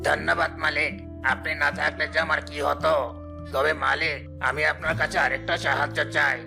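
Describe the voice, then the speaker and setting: A man's voice: fairly high pitch, flat delivery, normal speed, gravelly. A man voicing a vengeful, scheming snake who fawns on its master, in a cartoon with music behind. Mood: obsequious scheming. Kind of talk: dubbed cartoon dialogue. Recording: loud music bed under voice.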